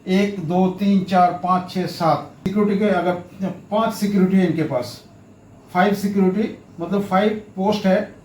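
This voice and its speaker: High-pitched man explaining in an echoey room. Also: a little mellow. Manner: repetitive, halting explaining with English terms mixed in. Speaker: instructor, tutorial presenter. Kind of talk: explanatory tutorial talk, step by step. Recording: roomy echo, faint background noise.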